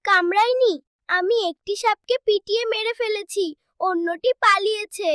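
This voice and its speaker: A woman's voice: very high-pitched, normal speed, a bit bright, no room sound, studio quality. A woman voicing a naive, plain-spoken young village boy in a cartoon fairy tale. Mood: matter-of-fact.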